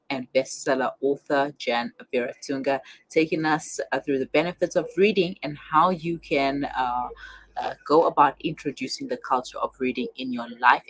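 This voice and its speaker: A woman hosting a radio talk show, speaking in a somewhat hesitant, halting way. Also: very deep voice, dry recording, low-quality recording. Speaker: radio host.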